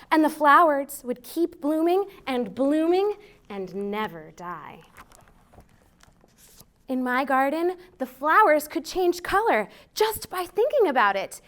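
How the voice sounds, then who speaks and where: An American woman with a high voice reads for small children. She takes her time, shaping phrases expressively and deliberately, as a library storytime host. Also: a bit bright. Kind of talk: children's storytime read-aloud.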